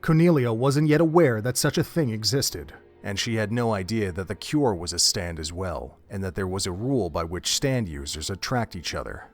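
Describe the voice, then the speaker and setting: A man with a low voice narrates a grim horror tale. His delivery is measured and dramatic, and it builds dread line by line. Faint music runs beneath his narration. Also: expressive.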